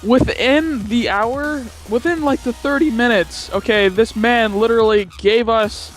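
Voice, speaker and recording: A young male gamer with a very high-pitched voice tells a story in loose, casual chat. He is giggly and rambles, circling back and repeating words. Faint music plays behind him. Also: a little slow.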